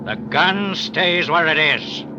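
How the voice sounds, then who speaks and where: Cynical male villain for radio suspense: smug, menacing, higher-than-average pitch, muffled vintage sound. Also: animated, takes it slowly. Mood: cold, threatening. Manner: sneering, cynical, cocky. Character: smug, menacing male embezzler and killer with a gun. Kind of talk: old-time radio suspense acting. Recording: dull, noisy vintage radio recording.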